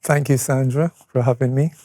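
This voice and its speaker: A Barbadian man in his seventies reminiscing conversationally as an interview guest, unhurried and pausing as he tells his story. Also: mid-range voice, fairly even intonation, warm tone, no room sound. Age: 70s. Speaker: interview guest, retired or veteran educator. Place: studio.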